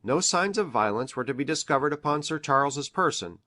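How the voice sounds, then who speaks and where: A man playing an earnest country doctor in an audiobook, reading aloud in a formal, deliberate way. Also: medium pitch, animated, pushed and bright. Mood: formal, measured. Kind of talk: measured dramatic audiobook reading of formal prose.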